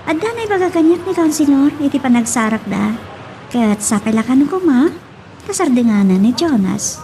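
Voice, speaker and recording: A woman with an Ilocano accent playing an excitable, lovestruck young woman in an audio drama. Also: sits high, normal speed, slight background noise, rough recording. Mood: excited.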